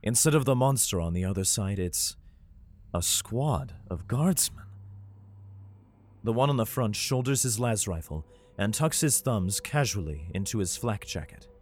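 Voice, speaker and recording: A man's voice: fairly low pitch, expressive, measured pace, a little hiss or hum. A man playing a desperate, protective father fleeing underground in an audio drama, who also narrates in the first person. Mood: tense narration.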